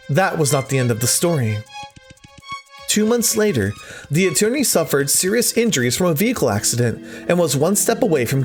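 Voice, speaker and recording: A man hosting a let's play, reading visual novel text aloud expressively with casual commentary. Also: a little high. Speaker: gaming video host.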